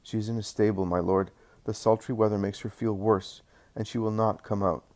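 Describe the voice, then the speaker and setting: A man's voice: lowish voice, fairly even intonation, brisk, darkish tone, close to an everyday voice. A man voicing a penitent middle-aged innkeeper who pleads with breathless fervor. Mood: pleading.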